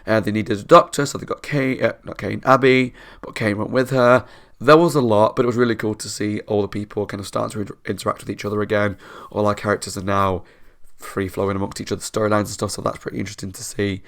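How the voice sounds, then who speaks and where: A man with a fairly low voice, congested from a cold. He talks informally and chattily, like the host of a reaction channel giving casual commentary on a show. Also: fairly even intonation, fast, fairly bright tone.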